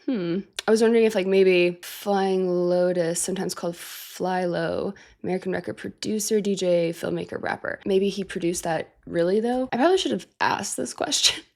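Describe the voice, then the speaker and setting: A woman's voice: lowish voice, normal speed, hardly any pauses, darkish tone. A woman hosting a music reaction channel, sick and congested with a nasal voice, chatting casually and thinking aloud with fillers. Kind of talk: casual reaction chat to camera.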